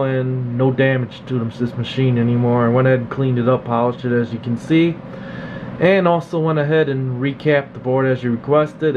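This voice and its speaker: A man, a repair technician, giving a casual, plain-spoken demonstration in an informal explaining way. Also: mid-range voice. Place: indoor electronics workshop. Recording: slightly dull, noisy hum of room. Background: steady background noise.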